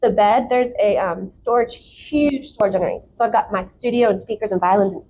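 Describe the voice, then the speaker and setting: A woman talking as a podcast guest in a casual, candid and expressive way, prone to giggling. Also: medium pitch, fairly quick, low-quality recording. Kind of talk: casual chat. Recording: clear background noise.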